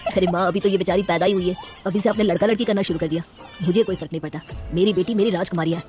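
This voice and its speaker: Woman as a rapid-talking, earnest devoted father. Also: on the low side, fairly even intonation, darkish tone, soft background music, lightly acted. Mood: firm, protective. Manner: earnest, firm, protesting. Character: devoted, principled middle-aged father defending his daughters.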